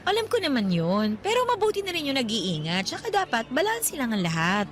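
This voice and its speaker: A devoted, caring young wife in a radio love story. She talks conversationally and coaxes gently, with a playful affection for her husband. Music plays underneath. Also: high voice, huge pitch swings, a little fast. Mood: tender concern. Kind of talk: Tagalog radio romance drama acting.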